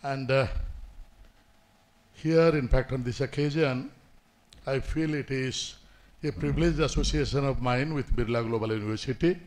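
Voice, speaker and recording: A man gives a formal commencement address at a podium in a seminar hall, speaking in measured phrases with frequent pauses. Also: medium pitch, a little mellow. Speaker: guest speaker. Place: indoor university seminar hall. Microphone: podium microphone.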